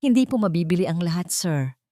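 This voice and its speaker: A woman's voice: fairly low pitch, expressive, brisk, mellow, no room sound, studio quality, close to an everyday voice. A woman voicing a respectful, earnest young male driver in an audio storybook, speaking politely. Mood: firm. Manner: polite, deferential.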